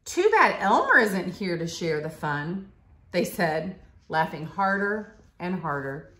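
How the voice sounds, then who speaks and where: A woman's voice: on the low side, sing-song, slow, fairly bright tone. A woman in her thirties reads a picture book aloud expressively to a child, in simple teacherly phrases, recorded in a living room a short way from the camera. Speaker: school staff member reading aloud to a child. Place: living room, seated on a leather couch. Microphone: camera a short distance away. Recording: roomy, echoey home recording.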